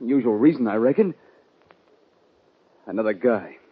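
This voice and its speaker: A man playing an anguished young outlaw cowboy with a Western drawl and husky voice, in 1950s radio western style. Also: mid-range voice, animated. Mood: weary, wounded. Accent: American Western drawl. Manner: emotional, halting, pleading. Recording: dull vintage 1950 radio recording.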